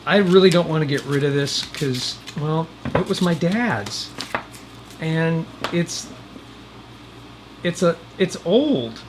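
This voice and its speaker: A man's voice: fairly high pitch, animated, slow pace, pauses often, background noise. A man hosting a DIY video in a casual, folksy way, with wry asides to the viewer. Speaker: host of a DIY video channel. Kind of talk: casual chatty DIY narration.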